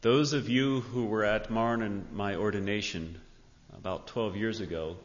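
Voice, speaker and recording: Man, pastor, lowish pitch, earnest church address, measured delivery, muffled reverberant hall recording. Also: steady tone. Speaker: pastor introducing a guest speaker. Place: large indoor church sanctuary.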